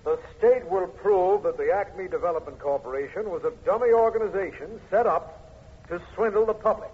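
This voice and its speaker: An actor playing a formal, emphatic American district attorney who is easily exasperated, in 1950s radio drama style. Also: male voice, high voice, moderate pace, breathy edge. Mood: formal, firm. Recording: muffled old radio, faint noise.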